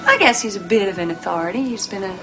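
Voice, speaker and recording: A woman's voice: medium pitch, lively intonation, fast talker, lightly acted. An actress playing a devoted, self-doubting young mother in soap drama dialogue. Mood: tender, self-doubting. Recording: music behind dialogue.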